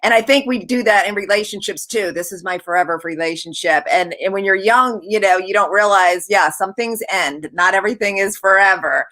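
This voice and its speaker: Middle-aged woman, bright and forceful, earnest storyteller on a video call. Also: mid-range voice, fairly quick, hardly any pauses. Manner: passionate, earnest. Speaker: guest, author program founder. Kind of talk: personal story in a panel.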